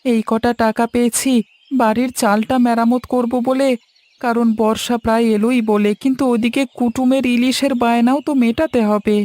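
Woman voicing earnest, high-voiced village lad. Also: fairly even intonation, moderate pace, darkish tone, dry recording, natural voice. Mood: reassuring, resolute. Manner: earnest, reassuring, polite. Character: helpful, dutiful young village handyman, earnest and polite. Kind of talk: cartoon story dialogue. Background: background music.

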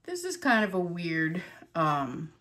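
A woman's voice: on the low side, very animated, takes it slowly, fairly bright tone. A middle-aged woman casually showing off thrift store finds, chatty and hesitant with plenty of fillers. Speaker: antique reseller showing a thrift haul. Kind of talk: casual show-and-tell vlog.

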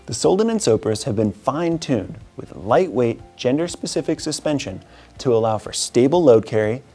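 Upbeat man presenting gear. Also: mid-range voice. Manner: upbeat, steady listing of features. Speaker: product presenter. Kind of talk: scripted product walkthrough. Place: indoor studio with wooden wall.

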